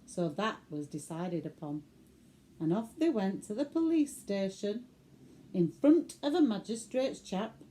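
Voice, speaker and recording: A woman with a Northern English accent recites comic verse as an expressive, theatrical bedtime storyteller for children. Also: medium pitch, a little hiss or hum, rough recording. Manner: theatrical, deliberate delivery.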